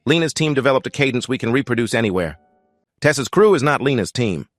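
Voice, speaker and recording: A man acts out a slick male business rival in an audio drama. He sounds self-satisfied and boastful, with lively swings in pitch and a dry, close sound. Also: quick pace, no room sound, faint music behind, lightly acted. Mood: smug. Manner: smooth corporate jargon, boastful. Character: smug male businessman rival, polished and evasive.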